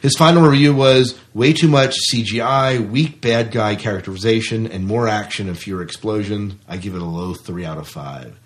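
Low-voiced man, casual podcast host. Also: normal speed, hardly any pauses. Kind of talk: casual film review talk, reading out listener reviews.

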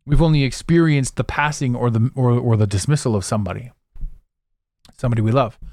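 A man hosting a solo podcast, talking intensely and repeating words as his voice swings between loud and quiet. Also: medium pitch, brisk, no room sound, clean studio sound. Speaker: podcast host.

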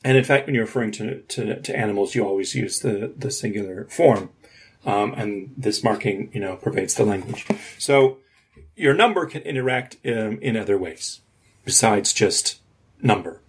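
A man's voice: fairly low pitch, expressive, moderate pace, slightly rough. A man co-hosting a podcast, explaining things informally and articulately. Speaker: podcast co-host.

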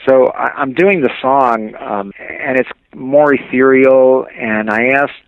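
A man giving casual, slightly halting commentary as a musician being interviewed over a narrow phone-like connection. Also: medium pitch, no room sound. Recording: tinny, dry phone-like line.

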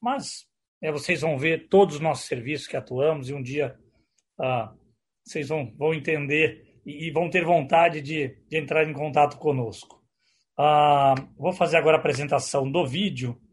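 A Brazilian man presenting informally on a video call as a company executive, speaking in a hesitant way with a husky voice. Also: fairly high pitch, moderate pace.

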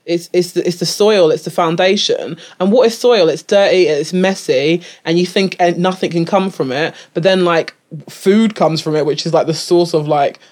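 A woman's voice: lowish voice, moderate pace. A woman, a guest improviser, chatting casually in an interview, restarting her sentences often and laughing easily. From England. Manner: animated, full of restarts and fillers, laughs often.

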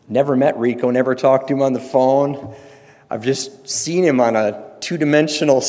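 A man, a pastor, introducing a guest speaker and interviewing him at a conference, speaking earnestly with pauses and fillers. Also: mid-range voice, a little mellow. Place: large church auditorium. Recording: long hall reverb.

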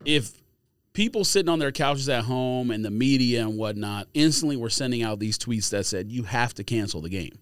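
A man's voice: mid-range voice, lively intonation, normal speed, smooth, no echo, studio quality. An American man co-hosting a podcast, arguing insistently in a casual sports debate. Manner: argumentative, insistent. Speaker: podcast co-host.

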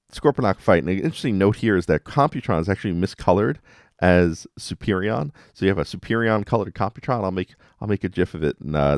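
A man's voice: sits low, no echo, studio quality. A man hosting a podcast, giving a casual, wry and playful episode recap with dramatic emphasis. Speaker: podcast host.

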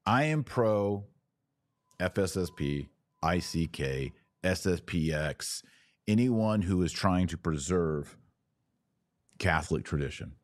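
A man's voice: low voice, expressive, takes it slowly, long pauses, no room sound. An American man speaking as a podcast host in conversational religious commentary, emphatic, often in short repeated phrases.

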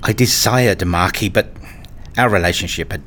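A man reading a scripted first-person story as narrator, in a measured, introspective manner. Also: low-pitched, fairly bright tone, slight background noise.

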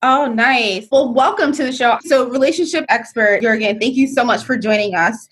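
Upbeat higher-voiced woman podcast host. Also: normal speed, fairly bright tone, slightly rough. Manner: upbeat, enthusiastic. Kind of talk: podcast hosting.

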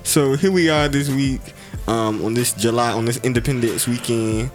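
Casting note: man, podcast host, mid pitch, loose and jokey, laughs a lot between lines. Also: normal speed, soft background music. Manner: laughs often, loose joking delivery. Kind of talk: casual chat.

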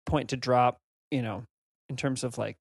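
A man's voice: medium pitch, steady tone, normal speed, gravelly, slightly rough, no room sound, studio quality. A man co-hosting a casual podcast, full of wry jokes and banter. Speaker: podcast co-host.

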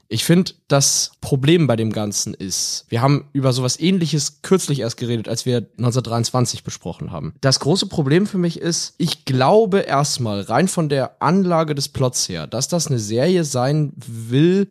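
A man's voice: medium pitch, no echo. Man in dry-sounding, analytical podcast discussion. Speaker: podcast host reviewing TV series. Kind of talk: conversational review discussion.

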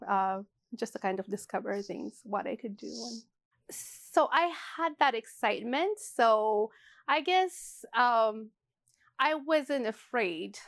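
Woman from ethiopia, 40s, gravelly voice, stops and restarts a lot, dry sound. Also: a little high, lively intonation, slow pace, no echo. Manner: hesitant, many fillers and restarts. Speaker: interview guest, shop co-owner. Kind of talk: casual interview.

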